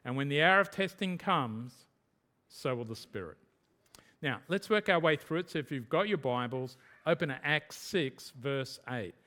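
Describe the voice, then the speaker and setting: Earnest Australian pastor preaching. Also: male voice, mid-range voice, expressive, moderate pace, pushed and bright. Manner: earnest, emphatic, repeats key points. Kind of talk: expository sermon.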